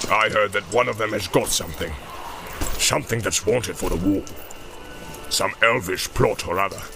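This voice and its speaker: A man voicing a brutal, commanding orc captain in a deep, menacing growl for a fantasy audiobook. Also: moderate pace, vocal fry, music in the background. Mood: gruff. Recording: noisy home-made audiobook with ambience.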